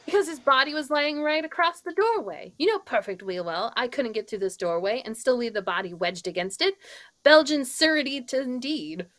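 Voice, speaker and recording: A flustered lady suspect acted by a woman in a vintage radio whodunit, quick to take offense and defend herself. Her voice is very high and sharply bright. It swoops around with exaggerated indignation. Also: animated. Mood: defensive, indignant. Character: flustered, defensive New York female murder suspect, indignant. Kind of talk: old-time radio mystery script reading.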